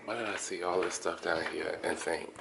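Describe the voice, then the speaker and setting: A young man with a low, deep voice chats in a relaxed vlog style and keeps poking fun at himself. He talks about life as a flight attendant. Loud background noise from travel surroundings runs under his voice. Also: a little fast, vocal fry. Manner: chatty, self-deprecating, joking.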